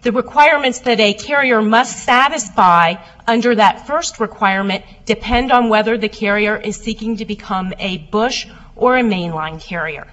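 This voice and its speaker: A woman lawyer giving a formal oral argument before appeals judges, loud and steady as she answers questions. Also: mid-range voice, moderate pace, few pauses, lo-fi sound. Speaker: appellate lawyer.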